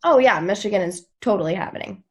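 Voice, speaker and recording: An actress plays a young woman in a television drama read-through. She is breezy and teasing, and her pitch moves freely. The voice has a slightly husky edge. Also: expressive, fast talker, darkish tone. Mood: playful. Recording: video call table read.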